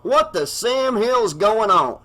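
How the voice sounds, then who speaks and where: A man voicing an angry small-town bartender who shouts defiantly. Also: high-pitched, animated, takes it slowly, pitched above the performer's own voice, heavily acted.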